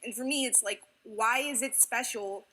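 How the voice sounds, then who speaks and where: A woman's voice: a little high, moderate pace, sharp tone. A young adult woman speaking frankly as an interviewee. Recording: music playing under the voice.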